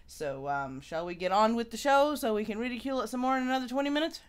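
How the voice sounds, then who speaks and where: A woman's voice: fairly high pitch, expressive, quick pace, smooth. A woman hosting a casual live stream, making announcements with a dry, wry humor. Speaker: show host.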